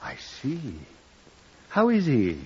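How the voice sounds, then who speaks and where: An actor playing a genteel, evasive accused doctor with a formal, mannered politeness, in 1950s radio drama style. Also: male voice, a little high, wildly swooping pitch, slow, dark tone, slight background noise, exaggerated voice. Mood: feigned politeness. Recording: muffled vintage 1950s radio recording.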